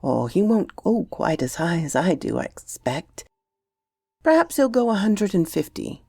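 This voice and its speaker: A woman's voice: fairly low pitch, sing-song, a little slow, gravelly, darkish tone, husky, dry recording, full character voice. Woman voicing an earnest, secretive young boy. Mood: grave. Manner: grave, insistent, polite. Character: earnest, secretive young boy, gravely confident about betting.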